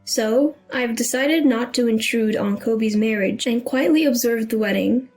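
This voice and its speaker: A woman's voice: on the high side, measured pace, darkish tone, soft background music. A woman reading a scripted first-person story as its narrator.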